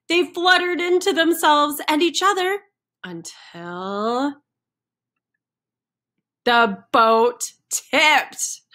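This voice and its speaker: Slow-paced, higher-voiced woman reading to children. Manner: expressive, emphasizing rhyming sound words. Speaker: children's center storyteller. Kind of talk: read-aloud picture-book narration for young children. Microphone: computer microphone on a screen recording with webcam.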